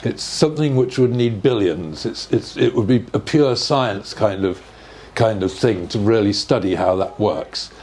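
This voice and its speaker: An older man giving an informal, measured explanation in conversation, often checking with rhetorical questions. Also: fairly low pitch, a little mellow. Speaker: speaker on earth energies and ancient sites. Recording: noticeable background noise.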